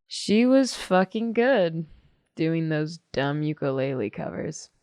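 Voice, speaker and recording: A woman's voice: sits low, very animated, slow, a little mellow, clean tone, no echo, clean studio sound, full character voice. A young American woman playing a blunt, sarcastic teenage loner in a naturalistic audio drama. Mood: sardonic. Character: blunt, sardonic teenage loner girl, guardedly loyal.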